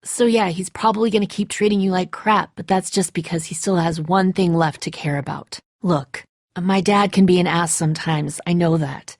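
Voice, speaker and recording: A woman's voice: lowish voice, moderate pace, no room sound, clean studio sound. A woman playing a tough, bitter, defensive apocalypse survivor in a dramatic video game, speaking in a terse, confrontational way. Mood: firm, practical.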